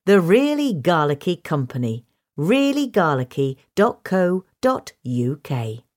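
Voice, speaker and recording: Low-pitched woman narrator, lively intonation. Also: measured pace. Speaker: article reader. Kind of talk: scripted narration of a gardening article.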